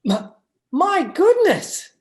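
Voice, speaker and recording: An actor as a timid, obedient husband in an old radio play. He speaks slowly and hesitantly, with swooping pitch, always submissive and ready to placate. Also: very animated, heavily acted. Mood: nervous. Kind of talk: old-time radio drama acting.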